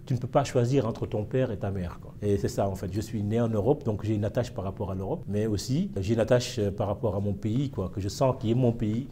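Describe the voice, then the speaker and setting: A man's voice: lowish voice, warm tone, a little hiss or hum. A man from Senegal, a historian, answering interview questions in a reflective way with frequent fillers and hesitations. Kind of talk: casual interview.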